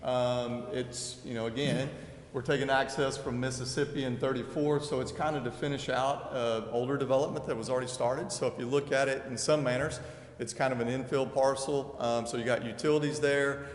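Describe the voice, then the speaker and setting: A man gives an informal, explanatory presentation with frequent hesitations. His mid-pitched voice rings around an echoey chamber. There is faint noise behind him. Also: steady tone, a little fast. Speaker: applicant's representative presenting. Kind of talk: public hearing presentation.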